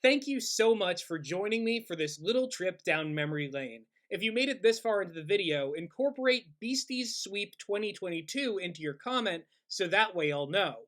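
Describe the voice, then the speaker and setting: A man's voice: sits high, lively intonation, normal speed, hardly any pauses, fairly bright tone, no echo, studio quality. Wry, self-mocking young man host. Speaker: YouTube host. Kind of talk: comedic commentary on an old script.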